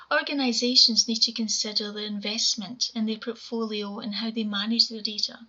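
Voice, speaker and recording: A woman in her forties with a Scottish accent and a quiet voice, giving a measured conference talk from home over a webcam. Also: fairly high pitch. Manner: measured, explanatory presenting. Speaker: conference speaker. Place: home room, indoors. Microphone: webcam video call. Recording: dull lo-fi webcam audio.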